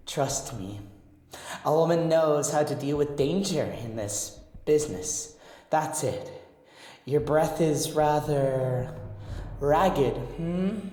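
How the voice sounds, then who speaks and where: A woman playing a motherly but dangerous lycan mercenary in an intimate roleplay audio drama, teasing in a slow purr. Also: low-pitched, mellow. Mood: teasing, reassuring. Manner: slow, teasing, purring endearments. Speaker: amateur voice actor. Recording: echoey reverb with music underneath.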